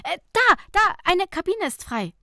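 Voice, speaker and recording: A woman's voice: very high-pitched, sing-song, moderate pace, sharp tone, dry recording, studio quality, pitched above the performer's own voice, heavily acted. A woman voicing a cheerful, impatient, playful female friend in an audiobook, exclaiming and teasing. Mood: urging.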